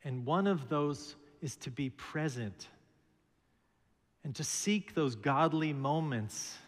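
A middle-aged man, a minister, preaching a sermon from the pulpit, telling stories in a slow and measured way. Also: medium pitch. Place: large church sanctuary, at a pulpit. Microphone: pulpit microphone. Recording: reverberant church hall.

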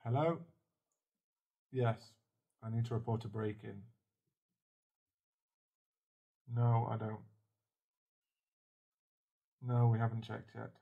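A man's voice: mid-range voice, little pitch movement, unhurried, vocal fry, pauses often, no room sound, narrow, tinny audio. A man playing a protective, tender werewolf boyfriend in an ASMR roleplay, speaking in a soothing, reassuring manner. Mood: shaken, subdued.

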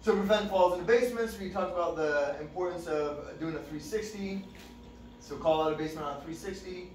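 A young man giving a fire service training talk in a relaxed, conversational way with many fillers, recorded from a distant camera in a dim classroom. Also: sits high, a little fast, a little hiss or hum. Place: dim training room with projector screen. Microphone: far camera. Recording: echoey room, distant mic.

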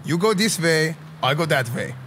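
Guy putting on a pompous persian immigrant, thick persian accent, broken english, bossy and indignant, kinda high voice. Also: animated, moderate pace, fairly bright tone, slight background noise. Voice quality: broken English, stiff formal phrasing. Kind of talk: live roleplay streaming.

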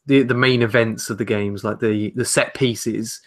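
A man's voice: fairly low pitch, steady tone, measured pace. A young man co-hosting a podcast, talking casually and carefully qualifying his points. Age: young adult. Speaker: podcast co-host. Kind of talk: casual discussion. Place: home room with shelves.

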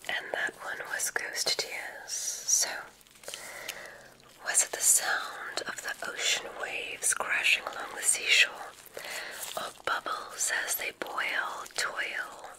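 A woman whispering softly in an ASMR roleplay as a kindly, formal witch examiner, giving gentle, soothing instructions. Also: slow, poor audio. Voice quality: soft unvoiced whisper.

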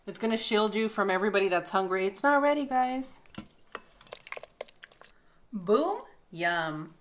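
A woman's voice: mid-range voice, measured pace, slight background noise. Upbeat woman hosting a kitchen demo. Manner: upbeat, chatty, laughs often. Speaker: cooking show host. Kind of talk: casual cooking demonstration. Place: bright home kitchen indoors.

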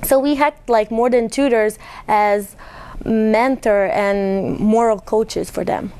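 A woman's voice: a little high, steady tone, takes it slowly, a little mellow, slight background noise. A young woman from Italy with an Italian accent, a language lab facilitator explaining her work earnestly in a college TV interview. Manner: earnest, explanatory, with small restarts. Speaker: ESL lab facilitator, guest.

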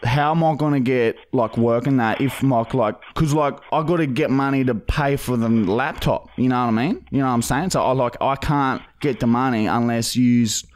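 A man's voice: medium pitch, normal speed, hardly any pauses, natural voice. A young Australian man playing a pushy, dim, matey bogan begging for work on a prank call, rambling and swearing heavily in slang. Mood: confused, pleading. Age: young adult. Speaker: prank caller and podcast host. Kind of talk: improvised prank phone call. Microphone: boom-arm studio microphone, phone held to face.

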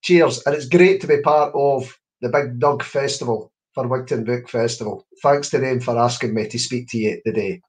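A man's voice: medium pitch, normal speed. A Scottish man in his fifties, a children's poet, chatting encouragingly to schoolchildren over a video call from home and dropping in Scots words. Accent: Scottish, Scots-speaking. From Scotland. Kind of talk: online workshop talk to schoolchildren. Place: room at home. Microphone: webcam on a video call.

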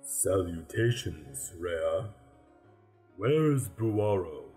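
A man playing a stern but courteous grim reaper in a radio play, speaking formally and deliberately. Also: deep voice, expressive, a little mellow, soft background music. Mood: patient. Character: stern, courteous Death, grave male reaper.

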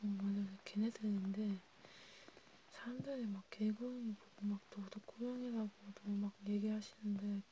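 A woman whispering breathily in an intimate, halting ASMR role play, talking informally as if to one listener. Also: medium pitch, poor audio. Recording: steady background noise with clicks.

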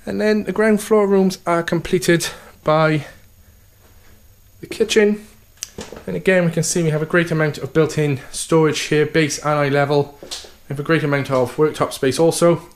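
A man with a slightly raised pitch leads a walking tour of a house as an estate agent. His sales patter is upbeat and steady, with plenty of "okay" and "fantastic" as he moves from room to room. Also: moderate pace, slight background noise. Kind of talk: property tour walkthrough.

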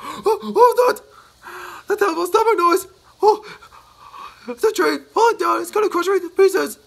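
A man's voice: a little fast, gravelly. A man voicing a timid, easily frightened little man who stammers and panics. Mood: terrified. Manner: stammering, shrill and jittery.

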